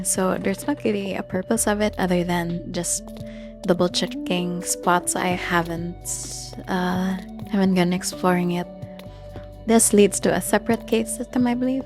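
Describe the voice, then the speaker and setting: A person giving casual gameplay commentary in a hesitant, halting way, often searching for words. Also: mid-range voice. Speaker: gamer narrating own Minecraft build tour. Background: background music.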